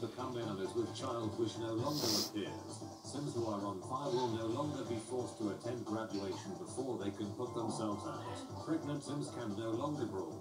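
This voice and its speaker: A man with a fairly low voice reads jokes aloud in a flat, mock-formal delivery. He sounds roomy and set back from the mic. Loud music plays underneath the whole time. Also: moderate pace, few pauses, far from the mic. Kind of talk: reading memes aloud. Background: loud background music.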